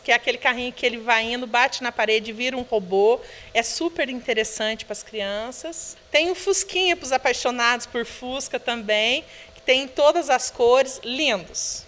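A Brazilian woman in her thirties speaks earnestly into a handheld news mic. Her voice sits high, and the indoor room adds a long reverb tail. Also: fairly bright tone. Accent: Brazilian Portuguese. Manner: earnest, appealing, uses filler "né". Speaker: charity director. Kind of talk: news interview. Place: indoor room of a children's home. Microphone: handheld reporter microphone.

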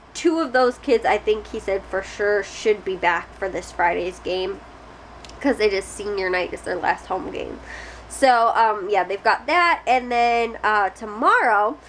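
A woman's voice: on the high side, fairly bright tone, a little hiss or hum, rough recording. Chatty young woman rambling to camera. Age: young adult. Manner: rambling, many fillers and restarts. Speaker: family vlogger. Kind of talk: casual chat to camera. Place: small bedroom indoors. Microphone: close camera at face height.

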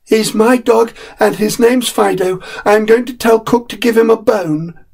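A man's voice: high-pitched, measured pace, vocal fry, a little mellow, voice pushed up, heavily acted. A man voicing a spoiled, eager ten-year-old boy. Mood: insistent. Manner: eager, insistent.

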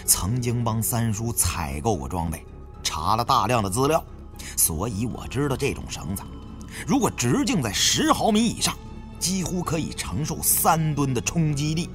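A man's voice: mid-range voice, sing-song, moderate pace. A man reading a novel aloud as a dramatised audiobook narrator. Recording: music behind the reading.

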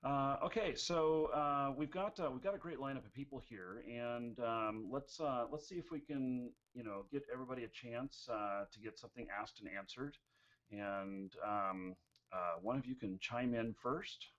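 A man's voice: mid-range voice, moderate pace, a bit bright. A man in his forties from the United States, hosting a live-streamed Q&A in a chatty, joking way with many fillers, on a headset mic at home. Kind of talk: casual live-stream chat. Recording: dull lo-fi stream audio.

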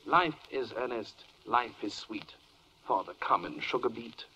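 A man's voice: mid-range voice, expressive, measured pace, bright, forceful tone, highs cut off, a little hiss or hum. An actor playing a grandiose, self-satisfied spokesman who recites florid rhymed verse in a 1940s radio comedy. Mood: grandly proud. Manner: florid, declamatory.

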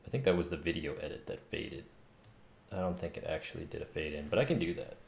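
A man with a gravelly, very low voice talks casually to himself on a livestream. He mutters through his thoughts in a small, echoey home room. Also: animated, quick pace, darkish tone. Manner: muttering, thinking aloud. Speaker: game developer streaming. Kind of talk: casual think-aloud livestream while coding. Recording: roomy, faint noise, keyboard clicks.

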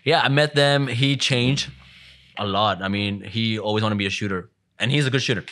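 A man's voice: fairly low pitch, fairly quick, pushed and bright. A young man speaking casually as a podcast host, interviewing his guest up close on a studio microphone. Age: young adult. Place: small dim podcast studio indoors. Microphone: close studio mic on boom arm.

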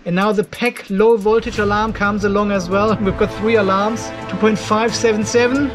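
An older man with a noticeably high voice talks through a hands-on tech demonstration. His delivery is informal and conversational, as if thinking aloud while he checks readings for viewers. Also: little pitch movement, normal speed, music in the background. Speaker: host of an off-grid battery testing channel. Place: cluttered indoor garage workshop.

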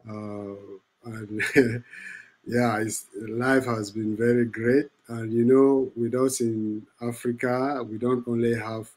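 A man from Cameroon, a guest on a video call, speaking slowly with many fillers and light laughs through a headset. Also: medium pitch, level tone, a little mellow. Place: home room, indoors.

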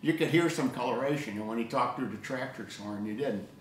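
A man's voice: mid-range voice, fairly quick, a little hiss or hum. Older man chatting in an echoey room. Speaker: co-host of an audio hobby show. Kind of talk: casual hobby discussion. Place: living room table indoors.

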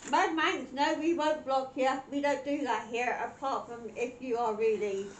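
A high, chatty woman, her voice husky from a cold. She greets livestream viewers and giggles between remarks. She is recorded on a camera mic in a bare stone room that throws the sound back, with a faint hiss underneath. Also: steady tone, moderate pace, roomy sound. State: voice weakened by a cold. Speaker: paranormal investigation host. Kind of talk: casual livestream chat, reading out viewer comments. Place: stone room in an old mine building at night.

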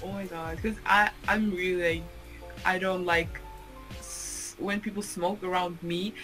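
A 21-year-old woman from Uzbekistan, chatting on a video call, animated and expressive. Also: fairly low pitch, a little slow, faint music behind, poor audio. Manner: animated and expressive, exclaims and laughs often. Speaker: video chat user. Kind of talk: casual video chat with strangers. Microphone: webcam video call.

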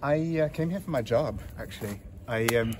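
A man, talking in a relaxed, halting way with many fillers during a casual interview in an outdoor community garden. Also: medium pitch, breathy edge. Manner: easygoing, hesitant with many fillers. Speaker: interview guest, volunteer. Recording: outdoor noise with passing traffic.

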